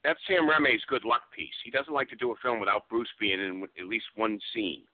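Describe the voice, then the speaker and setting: A man's voice: medium pitch, fairly quick, no room sound. A man chatting conversationally as an interview guest, telling things in an anecdotal way. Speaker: guest, radio show presenter. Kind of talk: casual interview chat. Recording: dull lo-fi call audio.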